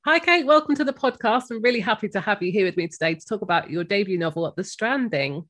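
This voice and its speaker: A woman hosting a book podcast, a little croaky as she gets over a cold, reading her introduction and then asking an author questions. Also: mid-range voice, expressive, fast, a bit bright. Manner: friendly, scripted introductions and interview questions. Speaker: podcast host.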